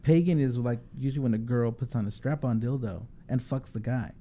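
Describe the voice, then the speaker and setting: Mellow-voiced man hosting a chat. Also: medium pitch, slight background noise. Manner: halting questions, many fillers. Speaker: host. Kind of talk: casual chat.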